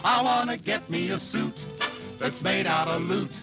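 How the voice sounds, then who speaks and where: A man's voice: sits high, measured pace, over loud music, rough recording. An American man singing a comic swing advertising jingle on an old radio broadcast.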